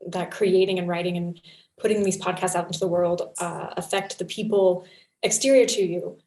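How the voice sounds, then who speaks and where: A woman talking conversationally on a panel, expressive and given to acting out little asides. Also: fairly low pitch, moderate pace. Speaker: fiction podcast creator and panelist. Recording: roomy, echoey sound.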